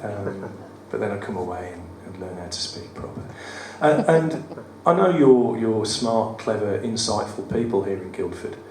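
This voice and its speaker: A man with a West Midlands accent and a croaky voice, reading his poems at a live event with wry, self-deprecating banter. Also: fairly low pitch, animated, moderate pace, few pauses, a little mellow, far from the mic. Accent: West Midlands English. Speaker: performance poet. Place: studio theatre at a live cabaret event. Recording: roomy live venue, mic from afar, audible room noise. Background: audience ambience.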